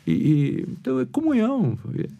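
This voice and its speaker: A man's voice: high-pitched, wildly swooping pitch, normal speed, dull audio. A Brazilian man, a pastor and podcast guest, telling stories in a rambling way with many restarts. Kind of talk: casual podcast conversation.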